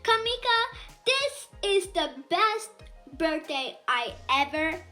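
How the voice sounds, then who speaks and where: A woman voicing an affectionate, spirited elderly woman from a children's storybook, exclaiming warmly. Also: very high voice, sing-song, slow pace, faint music behind, exaggerated voice. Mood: delighted. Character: affectionate, spirited elderly woman neighbor celebrating her birthday.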